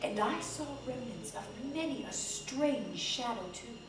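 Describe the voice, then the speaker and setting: A woman reading a literary story aloud on stage in a theatrical, deliberate way, breaking it into measured phrases. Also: a little high, lively intonation. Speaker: live stage reader in an ensemble. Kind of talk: dramatic literary story reading, scripted narration. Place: live theatre stage, recorded from a distance. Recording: roomy, distant live theatre recording with noise.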